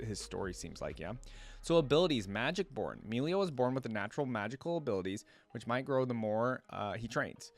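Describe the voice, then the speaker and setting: A man hosting a casual reaction video, talking conversationally and thinking out loud, sometimes reading aloud. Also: medium pitch.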